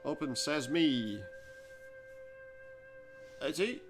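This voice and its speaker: A man's voice: on the high side, expressive, very slow, over music. A man giving casual let's play commentary on a game, with dry joking asides. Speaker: gaming video host.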